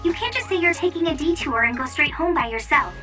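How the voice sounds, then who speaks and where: A woman's voice: sits high, expressive, fast, far from the mic, music in the background. A woman playing a serious, caring young female soldier in an anime-style video game, earnest and chiding.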